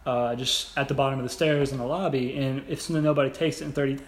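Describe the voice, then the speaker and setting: A young adult man co-hosting a podcast in casual, halting, rambling, informal talk. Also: mid-range voice, steady tone. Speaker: podcast co-host. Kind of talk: casual conversational podcast chat. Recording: echoey room, background noise.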